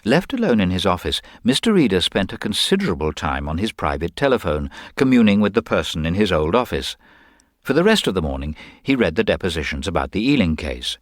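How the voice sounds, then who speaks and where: A man's voice: sits low, big pitch swings, brisk, dry recording, clean studio sound. A man narrating a scripted detective story.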